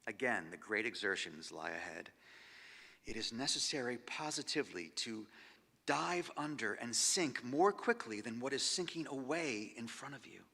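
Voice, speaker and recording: Creaky-voiced man reading in a hall. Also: mid-range voice. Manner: measured and expressive, dropping to near-whispers on trailing phrases. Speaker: actor giving a stage reading. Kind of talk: dramatic literary reading of diary entries. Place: large concert hall. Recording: long hall reverb.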